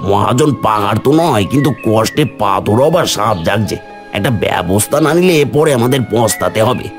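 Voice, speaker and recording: A man's voice: on the low side, lively intonation, moderate pace, soft background music. A man voicing an oily, scheming moneylender's henchman in a cartoon, insinuating and persuasive. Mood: taunting, threatening. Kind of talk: cartoon voice acting.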